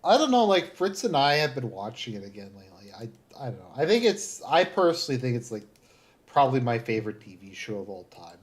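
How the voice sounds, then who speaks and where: A man with a Southern Ontario Canadian accent, chatting casually as a livestream host in a halting, rambling way that sounds like thinking aloud. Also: mid-range voice, sing-song. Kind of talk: casual chat, live commentary.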